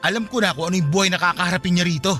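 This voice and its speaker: A man's voice: sits high, rapid-fire, pushed and bright, faint music behind. A man playing a cold, defensive married lover in a radio drama, arguing and shifting blame. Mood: defensive, blaming. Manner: argumentative, blaming. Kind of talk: Filipino radio drama acting.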